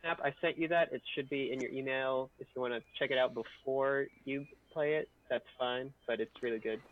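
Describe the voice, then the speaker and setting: A man's voice: medium pitch, steady tone, a little fast, dry recording, narrow, tinny audio. Male, mid-range voice, dry sound. Casual, joking livestream co-host in group chat. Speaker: audio editor and co-host on a stream.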